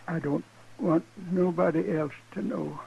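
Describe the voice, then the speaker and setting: An actor playing a dying, ashamed American frontier man, weak and halting, with a husky, creaky voice in 1950s radio western style. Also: a little high, steady tone, warm tone, a little hiss or hum. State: mortally wounded, failing breath. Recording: muffled old radio recording.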